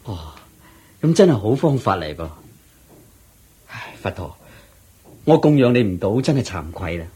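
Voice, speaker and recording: An actor playing a humble, troubled poor layman in a radio drama, husky-voiced, with a deferential, hesitant way of talking. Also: lowish voice, very animated, unhurried, long pauses, warm tone, full character voice. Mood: humble, earnest. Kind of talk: Cantonese radio drama acting.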